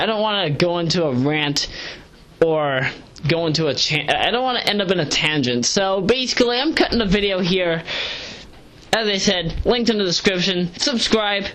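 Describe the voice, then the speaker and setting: A woman's voice: lowish voice, a bit bright. Sleep-deprived, hyper woman rambling casually. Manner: digressive, self-correcting, slangy. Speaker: video reviewer, vlogger. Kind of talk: casual rambling audio review. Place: pacing around while recording.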